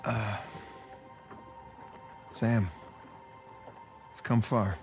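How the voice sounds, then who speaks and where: An American man in his thirties playing a good-natured, gently sardonic retired adventurer in a video game, casual and a little hesitant. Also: lowish voice, steady tone, extremely slow. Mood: earnest, warm. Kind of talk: video game cutscene acting. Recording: music under the voice.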